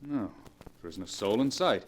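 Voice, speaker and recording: A man's voice: on the low side, expressive, normal speed, close to an everyday voice. Scheming nephew, acted by a man, clipped, impatient, 1940s radio drama, faintly hissy clicking recording. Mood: urgent.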